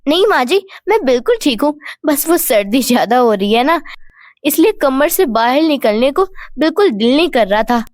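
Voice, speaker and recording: A woman's voice: sits high, expressive, normal speed, no echo, studio quality. A woman voicing a naive young bride who always feels cold, in a cartoon story. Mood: earnest.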